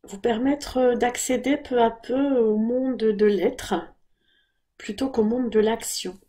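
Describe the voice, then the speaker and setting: Woman, guided meditation, soft husky-breathy tone, relaxed timbre, calm, unhurried, gentle. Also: on the high side. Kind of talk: guided body scan meditation.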